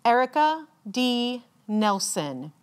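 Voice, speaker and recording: A woman with a slightly high voice serves as a formal ceremony announcer. She reads names extremely slowly, enunciating each one deliberately and leaving measured pauses. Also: very animated. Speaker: commencement announcer reading graduates' names.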